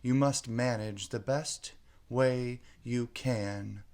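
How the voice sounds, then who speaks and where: A man's voice: medium pitch, fairly even intonation, takes it slowly, a little mellow. A man voicing a bewildered, dramatic young girl from a children's storybook, musing aloud and exclaiming to herself. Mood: fretful.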